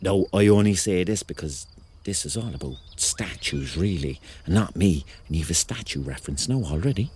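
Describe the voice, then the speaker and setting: A man's voice: low voice, lively intonation, moderate pace, darkish tone, slightly rough, full character voice. An actor playing a hapless, self-mocking thirty-year-old man with a Cork accent, telling his story as a rambling, wry confession in a radio drama. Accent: Cork Irish.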